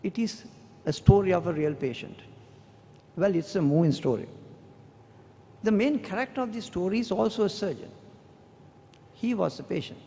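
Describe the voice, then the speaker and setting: Gravelly-voiced surgeon giving a formal address. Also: male voice, sits high, warm tone. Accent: Sri Lankan English. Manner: measured, deliberate delivery with slow emphatic stretches. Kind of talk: formal prepared speech, reading a long quotation. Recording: long hall reverb.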